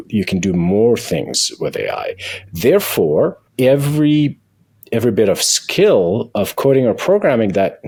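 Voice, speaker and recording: Deep, husky man in a tech interview. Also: a little mellow, no room sound. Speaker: guest, tech company founder and CEO. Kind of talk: interview conversation with software demo.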